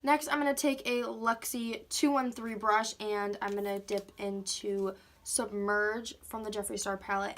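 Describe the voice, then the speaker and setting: A woman's voice: mid-range voice, a bit bright. A woman vlogger talking through a makeup tutorial at home, chatty and full of "like", sounding congested from a cold. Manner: chatty, filler-heavy, says "like" often. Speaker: beauty vlogger. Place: at home, indoors. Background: faint heater hum.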